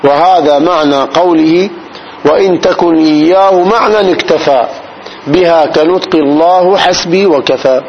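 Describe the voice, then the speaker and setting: A man giving a classical grammar lesson. He explains slowly, step by step, and often asks whether his students follow. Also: a little high. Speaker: Arabic grammar teacher. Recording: dull recording with faint noise.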